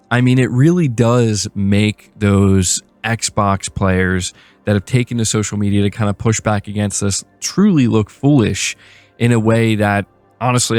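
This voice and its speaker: Low-voiced man hosting gaming news. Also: dry recording. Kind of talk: casual gaming news commentary.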